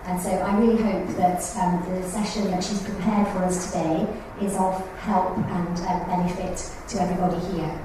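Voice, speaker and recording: A woman running a support group meeting, giving announcements and introducing a speaker in a measured way with frequent ums. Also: on the low side, level tone. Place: meeting room, recorded from far off. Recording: echoey room, far mic, noisy.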